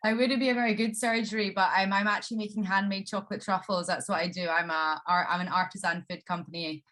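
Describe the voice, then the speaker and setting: A woman's voice: mid-range voice, steady tone, fast, fairly bright tone. Woman, webinar attendee, casual chat, light manner, video call. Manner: laughs easily, light. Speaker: webinar attendee, food business owner.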